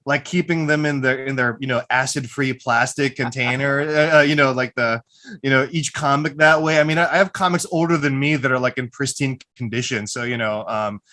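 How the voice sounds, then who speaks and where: A man's voice: medium pitch, steady tone, brisk, bright, forceful tone. A man from the Philippines, a filmmaker guest on a video call, easygoing and nerdy, laughing often as he talks. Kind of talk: casual interview over video call.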